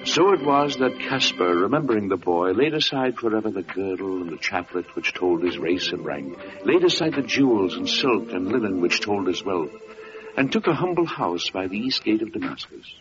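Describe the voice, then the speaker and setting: An actor playing a solemn storyteller, narrating a biblical legend in elevated, dramatic prose for an old-time radio drama. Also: male voice, lowish voice, sing-song, music in the background. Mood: solemn, compassionate. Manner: solemn, dramatic, measured literary phrasing. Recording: dull vintage radio recording.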